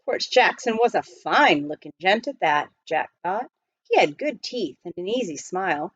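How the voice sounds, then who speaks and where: A woman reading a children's novel aloud as an expressive storyteller. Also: on the low side, moderate pace, breathy edge, no room sound, highs cut off. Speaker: storybook reader.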